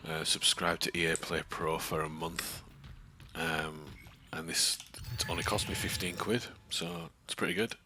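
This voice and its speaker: A British man with a deep voice, chatting casually and keeping it subdued as he streams a game playthrough. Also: steady tone, a little slow, pushed and bright, slight background noise. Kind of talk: casual live playthrough chat. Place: home, next to a bedroom.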